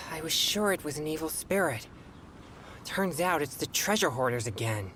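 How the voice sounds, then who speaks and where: A woman's voice: sits low, expressive, moderate pace, fairly bright tone, a little hiss or hum. A woman voicing an earnest, subdued young male exorcist in a video game, reserved and serious. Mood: disappointed.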